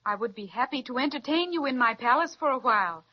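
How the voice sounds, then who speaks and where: A woman's voice: sits high, lively intonation, fast. Kindly regal queen, courteous, lo-fi 1930s radio. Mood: gracious. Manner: stately, courteous. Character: gracious, kindly fairy queen. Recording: dull lo-fi 1930s radio recording.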